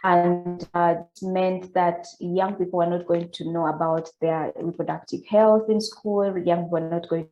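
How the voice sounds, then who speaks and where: A hoarse woman with a cold, speaking as a panelist in a hesitant way, with many fillers and restarts. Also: fairly low pitch, little pitch movement, moderate pace, few pauses, muffled recording, soft background music. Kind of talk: panel talk on a video call. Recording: echoey room.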